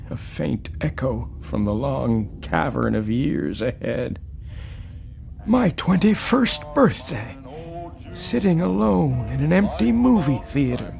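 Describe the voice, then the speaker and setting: A haunted, lonely old man in a radio drama, with a high voice full of creak. He talks slowly and haltingly, brooding one moment and agitated the next. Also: full character voice. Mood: excited reminiscence. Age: older. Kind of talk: dramatic radio play acting.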